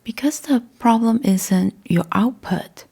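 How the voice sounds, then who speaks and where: A woman leading a guided meditation in a measured, hushed and deliberate way, leaving long pauses between short phrases. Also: a little high, animated, vocal fry, breathy edge. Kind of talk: slow guided meditation narration.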